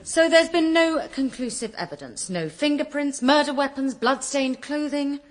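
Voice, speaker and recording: A woman's voice: sits high, lively intonation, normal speed. An actress playing a sarcastic British defence barrister in a radio comedy drama, precise and withering as she cross-examines.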